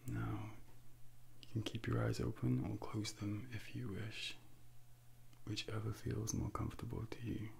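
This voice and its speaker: A young man doing a soft, breathy ASMR role play as a calm Devil, soothingly guiding a listener into sleep with deliberate pauses, close to the mic. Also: fairly low pitch, a little hiss or hum. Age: young adult. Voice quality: breathy, half-whispered. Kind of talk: ASMR soft speaking, guided sleep hypnosis. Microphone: close binaural microphone.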